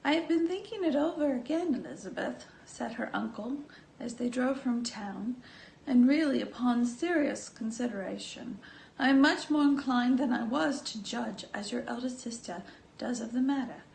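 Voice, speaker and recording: A woman's voice: fairly high pitch, lively intonation, normal speed, vocal fry, a little mellow. A woman reading a classic novel aloud, acting out its dialogue as an amateur narrator. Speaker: volunteer audiobook reader. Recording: echoey room with faint background noise.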